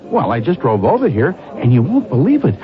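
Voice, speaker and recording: A man's voice: mid-range voice, huge pitch swings, a little fast, faint music behind. An actor playing an earnest, puzzled ordinary guy in a vintage radio commercial. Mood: earnest wonder. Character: earnest, puzzled ordinary guy, happy new car owner. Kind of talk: 1970s radio ad acting. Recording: dull old radio recording.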